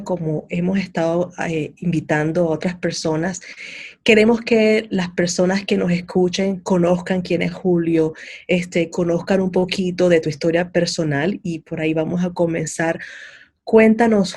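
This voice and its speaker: A woman's voice: lowish voice, darkish tone, husky. A woman hosting an interview show, asking questions in a welcoming, conversational way. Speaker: host. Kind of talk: interview host.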